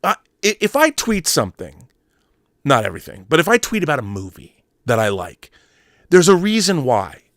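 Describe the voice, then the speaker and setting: A man's voice: a little high, huge pitch swings, normal speed, fairly bright tone, no room sound, studio quality. A middle-aged American man hosting an online show, delivering a casual, opinionated rant in an exasperated, emphatic, rambling way, often acting out imagined exchanges. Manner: rambling, acts out imagined dialogue.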